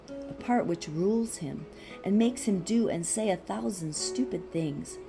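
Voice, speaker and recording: A woman reading a philosophical text aloud as scripted narration, in a measured, deliberate way with pauses. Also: medium pitch, warm tone. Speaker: audiobook reader.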